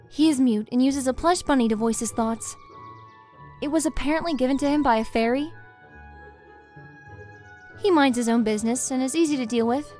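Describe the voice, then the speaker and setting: A woman's voice: a little high, a little mellow, natural voice. A woman voicing a cold, haughty teenage crown princess, narrating formally in a visual novel. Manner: formal, disdainful. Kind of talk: scripted first-person visual novel narration.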